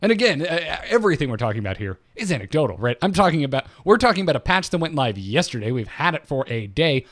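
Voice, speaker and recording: A man's voice: on the high side, sing-song, fast talker, few pauses, fairly bright tone. Chatty man telling a gaming story. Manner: chatty storyteller, stammers and restarts. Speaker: podcast co-host. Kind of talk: casual gaming podcast talk.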